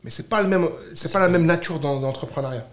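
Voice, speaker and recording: A man with a fairly high voice talks in a roomy, echoing space. He is from France and chats informally as an interview guest, stressing points by repeating short phrases in bursts. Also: fast. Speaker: podcast guest, startup founder. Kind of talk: casual, animated conversation.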